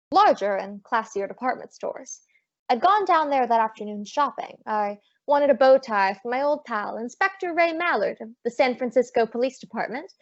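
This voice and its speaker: A woman playing a breezy, wisecracking private eye, narrating and acting in an old-time radio detective show. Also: on the high side. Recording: dull lo-fi audio.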